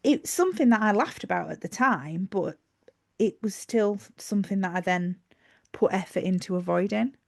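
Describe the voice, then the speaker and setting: A woman's voice: medium pitch, lively intonation, moderate pace, gravelly, no room sound, clean studio sound. A woman with a Yorkshire accent, co-hosting a casual podcast chat and explaining her thoughts with fillers and restarts. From Yorkshire, England. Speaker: podcast co-host.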